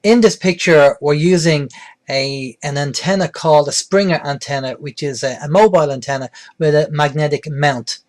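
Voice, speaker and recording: A man's voice: a little high, moderate pace. A middle-aged man presenting an informal, step-by-step beginners' tutorial in a plain-spoken, explanatory way.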